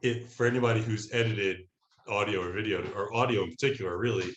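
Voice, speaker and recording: A man speaking as a guest in a casual interview, thoughtful and halting as he explains. His voice is on the low side, with noticeable room echo. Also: fairly even intonation, dull audio, slight background noise. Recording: roomy echo on the voice.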